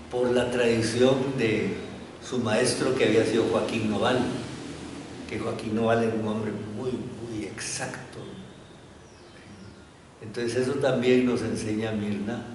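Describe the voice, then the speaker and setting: An older man reflecting thoughtfully in an interview, speaking haltingly and often checking for agreement with short tag questions. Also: medium pitch. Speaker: interviewee, academic anthropologist. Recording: roomy, echoing, distant mic, faint noise.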